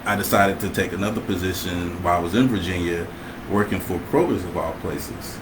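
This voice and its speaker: Deep-voiced middle-aged man. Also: fairly quick. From Michigan, USA. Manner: relaxed, hesitant with many fillers. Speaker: guest, chef. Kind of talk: casual conversation on a livestream. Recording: noisy home recording.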